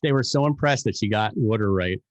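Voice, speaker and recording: New man co-hosting a podcast, fairly low voice, talks in quick animated rambles. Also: no room sound. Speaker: podcast co-host. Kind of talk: casual podcast chat.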